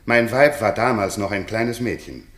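An actor playing a courtly, gallant royal guest in a classical radio play, with poetic, declamatory delivery in an echoey room. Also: male voice, fairly low pitch, moderate pace, a bit bright, close to an everyday voice. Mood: nostalgic, gallant.

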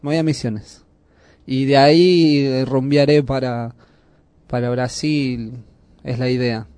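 A man's voice: medium pitch, fairly even intonation, slow, darkish tone, clean tone, no echo. An Argentine man hosting a radio show, chatting casually at a studio microphone. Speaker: radio host.

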